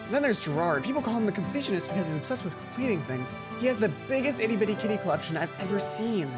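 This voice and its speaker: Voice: medium pitch, big pitch swings, very fast, over loud music, close to an everyday voice. A let's player voicing a gossipy, boy-crazy teenage schoolgirl in a visual novel, chatty and confiding. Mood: admiring, chatty.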